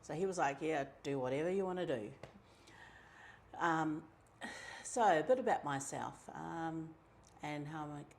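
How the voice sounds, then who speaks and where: Low, gravelly New Zealand woman. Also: measured pace. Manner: relaxed and chatty, with many ums and quoted asides. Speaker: community member telling her story. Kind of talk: casual personal storytelling.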